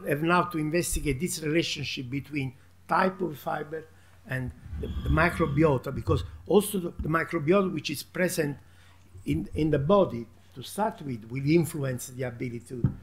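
A man speaking on a conference panel, halting with many restarts, into a table microphone in a large hall. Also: on the high side, vocal fry, a little hiss or hum.

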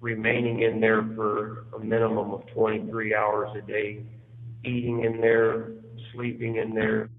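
A man in his late forties, mid-pitched and flat, calm and matter-of-fact. He comes through a tinny phone line with a faint hiss. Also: a little slow, in a large hall. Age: 40s. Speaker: incarcerated caller.

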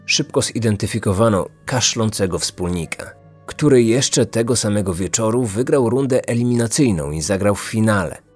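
A man narrating a scripted true-crime podcast as its host, measured and serious. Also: lowish voice, expressive, a little mellow, slightly rough, soft background music.

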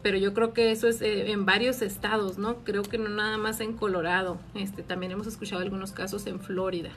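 A woman's voice: mid-range voice, flat delivery, brisk. A woman, a tax advisor answering viewer questions on a live stream in a friendly, explanatory way with many filler words, filmed by a phone in a small office. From Mexico. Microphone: phone camera at arm's length.

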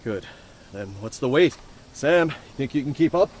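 An actor playing a tough, teasing army sergeant in an audio drama, terse and dry. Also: male voice, a little high, animated, normal speed, slight background noise, close to an everyday voice. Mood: terse, urgent.

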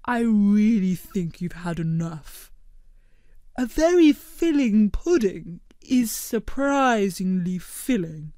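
Storybook role: a woman putting on a mild, sensible blob creature, slow and gentle, dry close sound. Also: mid-range voice, dry recording.

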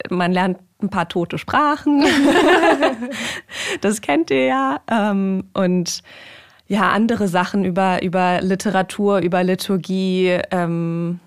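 A woman's voice: mid-range voice, slow pace. A young woman talking casually and informally as a podcast guest, explaining things in conversation. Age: young adult. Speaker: podcast guest, rabbinical trainee.

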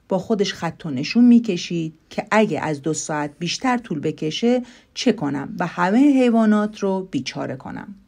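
A woman storyteller narrating a scripted fairy tale for a podcast in an expressive, measured manner. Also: fairly low pitch.

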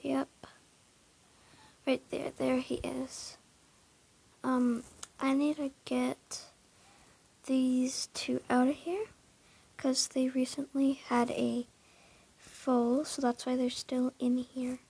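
A young woman with a high, creaky voice gives a casual, unhurried commentary while playing a horse game. Her speech is husky and hesitant, full of fillers, and she often drops to a whisper. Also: level tone, darkish tone. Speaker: gamer narrating her horse barn.

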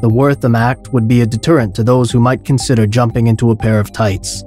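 A male actor as a calculating, scornful tycoon in an audio drama. His medium-pitched voice is articulate and pompous, talking down to his opponent over soft music. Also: steady tone, brisk, close to an everyday voice. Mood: scornful, measured. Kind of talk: audio drama acting of a TV debate.

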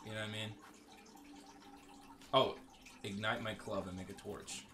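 A man's voice: fairly low pitch, little pitch movement, a little slow, background noise. A man giving casual gameplay commentary in a joking, sarcastic, crude way. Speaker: gaming streamer.

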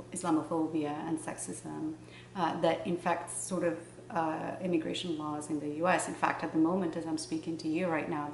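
A middle-aged woman from Morocco, a novelist answering interview questions in a measured, thoughtful way with pauses, in a room in front of bookshelves. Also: sits low. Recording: roomy, distant mic, faint hiss.

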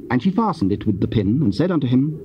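A man narrating scripture as part of an audio drama, solemn, measured and formal. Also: medium pitch, big pitch swings, dark tone. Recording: dull, faintly noisy cassette recording.

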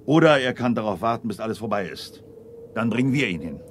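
A man plays a brisk general in a radio drama. His voice is on the low side, clipped and authoritative, with faint engine noise behind him. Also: animated, close to an everyday voice. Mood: commanding. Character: brisk commanding male army general. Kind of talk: radio play acting.

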